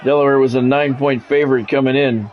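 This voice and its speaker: A man's voice: mid-range voice, fairly even intonation, quick pace, narrow, tinny audio. A man streaming a football video game, mixing sports play-by-play with casual, salty chat to viewers and swearing freely.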